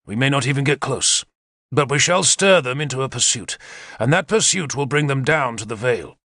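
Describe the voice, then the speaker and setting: A man's voice: medium pitch, lively intonation, a little fast, pushed and bright, no echo, studio quality, pitched above the performer's own voice. A man voicing a confident male war leader who eagerly lays out battle plans, in a decisive, commanding manner. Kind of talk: audiobook character reading.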